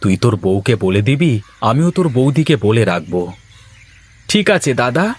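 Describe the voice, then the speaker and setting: A fed-up village family man from a cartoon, played by a male actor. He talks in an earnest, measured, plaintive way, like a son worn down by quarrels at home. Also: medium pitch, big pitch swings, husky. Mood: resigned. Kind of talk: Bengali cartoon dialogue acting.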